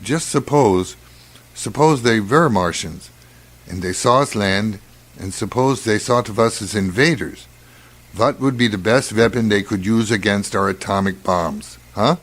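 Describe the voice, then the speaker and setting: A man acting the role of a suspicious, brooding doctor on a space crew, deliberate and ominous in radio drama style. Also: fairly low pitch, fairly bright tone. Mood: musing suspicion. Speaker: actor in amateur radio play.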